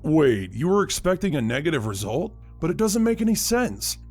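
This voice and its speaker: An actor playing a casual, caring skeleton in a comic dub, talking informally and candidly. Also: male voice, a little high, very animated, normal speed, darkish tone, soft background music. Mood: puzzled, challenging. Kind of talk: fan comic dub voice acting.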